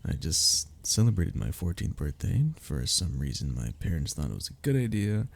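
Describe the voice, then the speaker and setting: A man's voice: rumbling low, big pitch swings, normal speed, vocal fry, exaggerated voice. A man playing a sentimental, teasing young man in an ASMR roleplay, talking intimately and conversationally to a childhood best friend. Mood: reflective storytelling. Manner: intimate, conversational, with sighs and chuckles. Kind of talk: ASMR roleplay audio drama.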